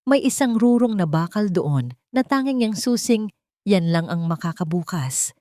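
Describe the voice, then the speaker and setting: A woman's voice: medium pitch, lively intonation, normal speed, dark tone, no room sound, studio quality, natural voice. A woman voicing a loyal, resolute female friend in an audio drama story, speaking firmly and urgently. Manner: firm, urgent.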